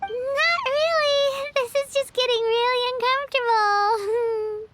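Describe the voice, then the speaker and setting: A woman's voice: very high-pitched, fairly even intonation, slow pace, noisy background. A woman voicing a tiny, chatty, blunt fairy companion in a video game, childlike and talking about herself in the third person. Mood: uncomfortable, sarcastic. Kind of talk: video game character acting.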